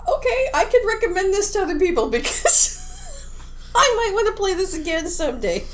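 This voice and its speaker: A woman's voice: very high-pitched, sing-song, fairly quick, slight background noise. A woman co-hosting a podcast, chatting casually and informally. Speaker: podcast co-host. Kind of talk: casual game discussion.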